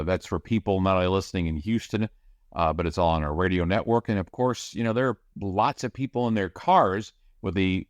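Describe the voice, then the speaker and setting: Energetic deep-voiced man explaining. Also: no room sound. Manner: energetic, explanatory, rapid description. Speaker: radio play-by-play announcer, guest. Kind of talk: casual podcast chat.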